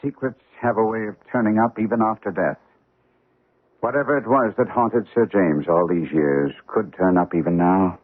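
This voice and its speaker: An actor playing a keen, commanding detective in a deliberate, probing, theatrical old-time radio drama style. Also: male voice, fairly low pitch, lightly acted. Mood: grave, probing. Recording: muffled narrow-band old radio recording.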